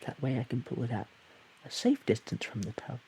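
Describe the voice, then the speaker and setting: Man playing a shy, mellow slime guy, hissy recording. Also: medium pitch, expressive, brisk, gravelly, poor audio. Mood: awkward, earnest. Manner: hesitant, bashful stammering. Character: shy, awkward, friendly young male slime creature. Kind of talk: audio roleplay addressed to the listener. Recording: hissy background noise.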